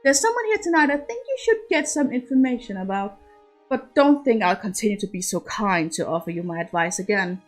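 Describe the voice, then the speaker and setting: A woman voicing a stern, cruel noble mother in a story, with an imperious, measured way of speaking. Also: medium pitch, expressive, soft background music, close to an everyday voice. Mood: condescending.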